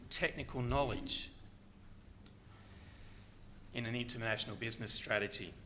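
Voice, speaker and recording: An older man giving a prepared talk on a conference panel, earnest and reflective. His voice is bright and forceful, at an ordinary pitch for a man. A faint hum of room noise sits under him. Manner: earnest, reflective, quotes other speakers. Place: conference hall.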